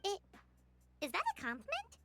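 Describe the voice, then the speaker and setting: Tiny fairy sidekick from a game, super high kiddy voice, bouncy and exclamatory, music playing. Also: female voice, wildly swooping pitch, moderate pace, full character voice. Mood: startled. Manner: exclamatory, petulant, refers to self by name. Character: small childlike floating fairy companion, excitable, plain-spoken. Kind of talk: video game voice acting. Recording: game audio under loud music.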